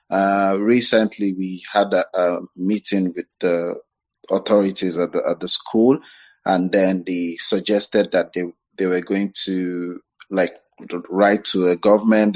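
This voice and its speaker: Low-voiced man, politely hesitant. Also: darkish tone. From Nigeria. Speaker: audience member, parent. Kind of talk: conversational question in an online discussion.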